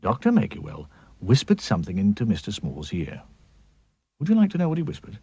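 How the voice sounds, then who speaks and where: A man narrating a children's story in a playful, expressive way. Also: lowish voice, brisk, gravelly, breathy edge, no echo, lo-fi sound.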